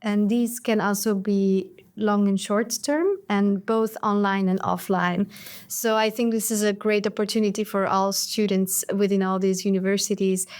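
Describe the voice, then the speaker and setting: Measured young woman from Hungary. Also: medium pitch, little pitch movement, a little mellow, clean tone. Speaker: guest, master's student. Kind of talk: interview answers.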